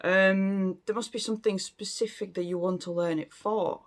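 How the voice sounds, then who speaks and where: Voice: medium pitch, steady tone, normal speed. Late thirties, casual talk to camera, hesitant, frequent fillers, restarts sentences. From Latvia. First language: Latvian. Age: late 30s. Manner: hesitant, many ums and restarts. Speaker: language learning vlogger.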